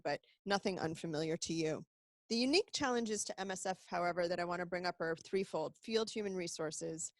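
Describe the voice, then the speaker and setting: American woman, middle-aged, low-ish voice, dry close sound, talks to supporters in a warm conversational briefing tone with small restarts. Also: dry recording. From United States. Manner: conversational, earnest, with fillers and restarts. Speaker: executive director giving a supporter briefing. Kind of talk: webinar address to supporters. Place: office.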